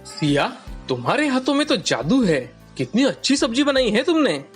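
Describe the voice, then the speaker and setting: An actor voicing a caring, agreeable young husband in an animated moral story, polite and expressive. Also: mid-range voice, normal speed, soft background music, lightly acted. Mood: admiring.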